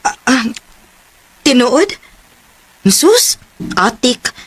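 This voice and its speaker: A woman's voice: high voice, sing-song, drawn-out words, a bit bright, slight background noise, full character voice. A woman playing a shy, eager young woman in love in a radio drama. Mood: shy, coy.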